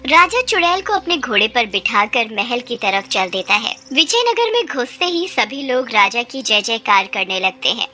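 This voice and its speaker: A woman's voice: a little high, big pitch swings, normal speed, hardly any pauses, pushed and bright. A woman narrating a scripted children's fairy tale as a storyteller.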